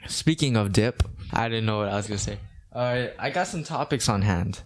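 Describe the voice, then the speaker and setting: A young man in his late teens from Hawaii, hosting a casual podcast chat in a flat, monotone way with lots of restarts. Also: on the low side, brisk. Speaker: podcast host.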